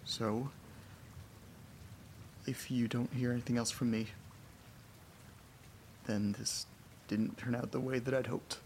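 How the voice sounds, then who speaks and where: An actor in a horror audio drama playing a weary, desperate man who records himself at home, muttering in halting, wry, sweary monologue. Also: mid-range voice, flat delivery, darkish tone, slight background noise. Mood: somber, resigned.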